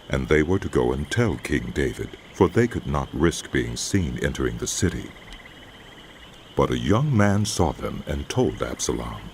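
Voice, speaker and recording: A man giving solemn, measured dramatic narration of scripture as a scripted audio production. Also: rumbling low, a little mellow, a little hiss or hum.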